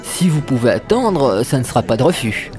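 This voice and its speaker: A man's voice: mid-range voice, sing-song, normal speed, close to an everyday voice. A man playing a polite, sheepish young hero in an audio comedy adventure, casual and hesitant. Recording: voice under prominent music.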